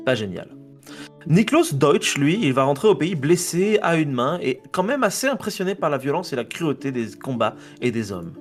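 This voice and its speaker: A man's voice: on the high side, very animated, moderate pace, faint music behind. A French man presenting a history segment on a casual podcast. He reads from his notes, stumbles over foreign names and jokes about his own slips.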